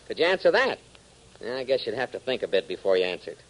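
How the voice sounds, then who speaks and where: A man's voice: mid-range voice, sing-song, fast talker, fairly bright tone, low-quality recording. A man announcing and narrating a 1940s radio adventure, emphatic and addressing young listeners directly.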